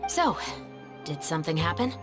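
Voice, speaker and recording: A woman playing a composed, dutiful patrol captain in a video game, speaking in a dry, measured, wry way. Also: sits low, very animated, music in the background.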